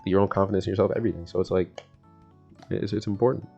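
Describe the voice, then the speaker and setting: A young man speaks in a fairly low voice over a music bed. He talks casually in slang, repeating himself as he works through self-help advice. The sound is slightly dull and lo-fi. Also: steady tone, quick pace, mellow. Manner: rambling and repetitive, often chuckling mid-sentence. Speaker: vlogger giving self-help talk. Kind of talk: casual, slangy motivational chat to the audience. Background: background music.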